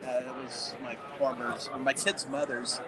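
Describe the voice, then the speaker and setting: A man's voice: medium pitch, little pitch movement, moderate pace, very noisy. An older man chatting casually with visitors at a conference booth, rambling through long anecdotes. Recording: loud crowd chatter behind.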